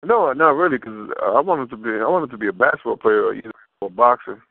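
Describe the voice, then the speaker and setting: A man's voice: mid-range voice, lively intonation, a little fast, vocal fry, no echo. A man giving a casual interview as a guest over the phone, talking informally with slang and rephrasing himself as he goes. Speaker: interview guest, fashion model. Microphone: telephone. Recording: muffled narrow phone line.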